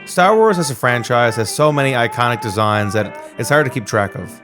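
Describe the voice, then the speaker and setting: A man's voice: medium pitch, lively intonation, a little fast, over music. A man narrating a scripted video essay.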